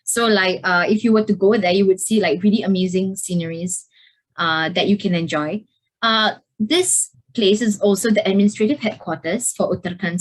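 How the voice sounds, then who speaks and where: A young woman talks through her slides in a student presentation. Her speech is halting and packed with "uh" and "like" as she gathers her thoughts. She sounds like she is on a video call. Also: medium pitch, low-quality recording. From Singapore. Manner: hesitant, many fillers like "uh" and "like".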